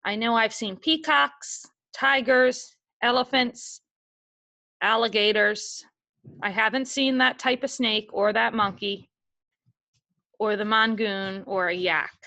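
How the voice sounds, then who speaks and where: Woman teacher talking slow to little kids, fairly high bright voice, patient step by step. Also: steady tone, gravelly. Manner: patient, step-by-step instructions to young children. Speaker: elementary school teacher. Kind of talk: remote class lesson, reading a picture book aloud.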